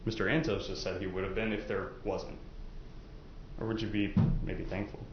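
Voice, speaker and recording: A low-voiced man works through courtroom questioning in a loose, conversational style, hedging and restarting as he explains. The room is echoey, the sound is slightly dull, and there is faint noise behind him. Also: level tone. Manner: halting, conversational, hedging with "I guess" and "you know". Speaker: lawyer questioning prospective jurors. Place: indoor courtroom.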